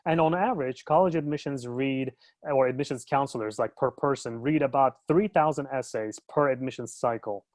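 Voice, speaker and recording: A man in his thirties from Turkey speaks at a middle pitch, in an easygoing way, with frequent fillers and restarts. He is advising on college matters in a webinar. The sound is dry and close. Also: a little fast, few pauses, dry recording. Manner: conversational, with many fillers and restarts. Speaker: educational consultant. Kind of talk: webinar discussion.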